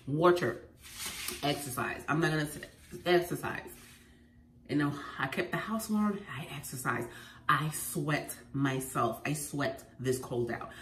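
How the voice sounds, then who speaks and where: A woman with a head cold, sounding congested, sharing home remedy tips in an animated, emphatic vlog at home. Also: deep voice, a little slow. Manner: animated and emphatic, repeating phrases. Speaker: lifestyle vlogger. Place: at home indoors. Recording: echoey room, faint noise.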